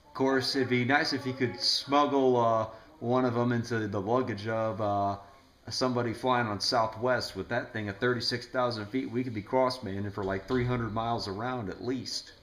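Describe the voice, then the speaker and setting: A man's voice: mid-range voice, fairly even intonation, moderate pace, a bit bright, slight background noise. A man chatting casually over the radio as an amateur operator, explaining things in a rambling way. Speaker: ham radio operator making a video. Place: indoors in a home room. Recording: echoey room.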